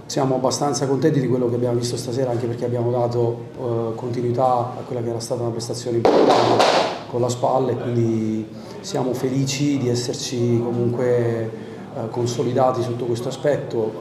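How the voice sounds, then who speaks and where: A man in his forties talks through a post-match press conference in a flat, steady voice, pausing on fillers as he works out his answers. The press room rings with echo and a murmur of people in the background. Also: medium pitch. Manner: measured, thinking aloud with frequent fillers. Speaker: assistant football coach. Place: press room in front of a sponsor wall. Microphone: desk microphone. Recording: roomy press room with crowd noise.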